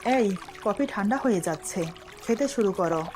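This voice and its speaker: A woman's voice: mid-range voice, lively intonation, normal speed, noisy background, lightly acted. Woman reading as a devoted, teasing young husband. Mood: tender.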